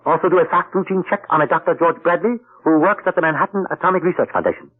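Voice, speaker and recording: Ein Mann spielt einen höflichen Geheimagenten, alte Radioaufnahme. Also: male voice, a little high, quick pace, close to an everyday voice. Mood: brisk. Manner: formal, courteous, speaks in proverbs. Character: suave, polite male intelligence agent. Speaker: narrator and lead character. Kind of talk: 1940s radio acting. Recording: tinny old radio broadcast.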